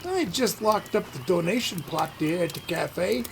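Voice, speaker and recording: A man in an audio play voicing a kindly, folksy, worried husband who works as a charity-bell Santa, with a gravelly voice. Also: high-pitched, animated, moderate pace, noisy background. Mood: earnest.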